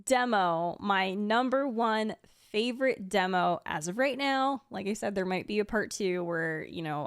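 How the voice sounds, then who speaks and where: A woman's voice: on the low side, dry recording. Gushing woman streamer, dry close sound. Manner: gushing, enthusiastic. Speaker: streamer and video creator. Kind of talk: casual fan reaction commentary.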